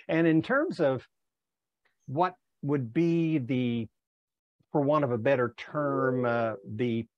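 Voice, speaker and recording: An older man presenting in an online club session, speaking emphatically and deliberately and repeating phrases for effect. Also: medium pitch, dry recording, dull audio. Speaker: workshop presenter. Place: indoor room on a video call. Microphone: clip-on lapel mic.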